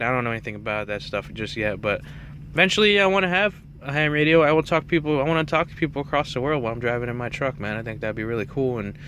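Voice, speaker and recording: Man, bright forceful voice, casual rambling vlog talk, self-effacing, faint vehicle cab noise behind. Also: medium pitch. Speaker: truck driver and CB radio hobbyist presenting his setup.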